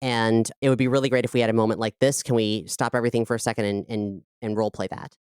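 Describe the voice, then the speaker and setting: Low, crisp-voiced woman explaining creative work. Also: steady tone, quick pace, a little mellow, no room sound, studio quality. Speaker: audio drama creator and director, interview guest. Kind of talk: conversational interview explanation.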